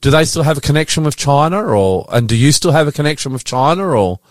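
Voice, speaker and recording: A man's voice: on the high side, animated, fast, dry recording, studio quality. A man from Australia with an Australian accent, hosting a casual interview in a curious, colloquial, easily amused way. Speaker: podcast host.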